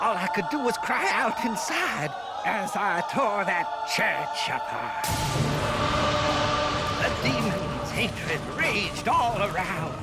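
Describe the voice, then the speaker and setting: An actor playing a tormented, self-deluded fallen evangelist, narrating a video game story in a theatrical, sermonizing way. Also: male voice, high voice, heavily acted. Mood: fervent, anguished. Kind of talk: dramatic scripted first-person video game narration.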